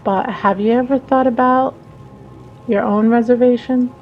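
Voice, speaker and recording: A woman's voice: fairly high pitch, fairly even intonation, measured pace, lo-fi sound. A woman reading a visual novel aloud as a dramatic narration. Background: background music.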